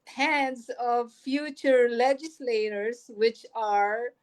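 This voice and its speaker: Woman, Filipino accent, native Filipino speaker, slow pace, reflective. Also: fairly high pitch. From Philippines. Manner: reflective, thinking aloud. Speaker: conference speaker answering a question. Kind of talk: conference Q&A answer. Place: United States.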